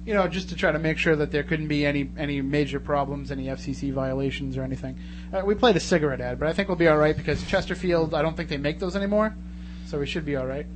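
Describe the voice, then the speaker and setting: A man hosting a casual live radio interview in a studio, chatty and wordy. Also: fairly high pitch. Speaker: radio show host. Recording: radio studio, loud noise and hum.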